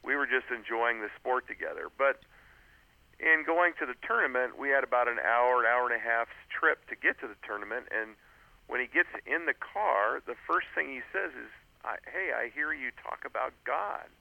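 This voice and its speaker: A man's voice: medium pitch, animated, moderate pace, vocal fry, bright, forceful tone, dry recording. A man who is an author and guest on a radio interview, telling a story earnestly with frequent "uh"s and restarts over a phone line. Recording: tinny phone line, dry.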